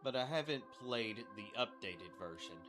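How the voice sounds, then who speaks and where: A man's voice: mid-range voice, expressive, normal speed, fairly bright tone, faint music behind. A man giving casual commentary while playing a video game. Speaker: gaming streamer.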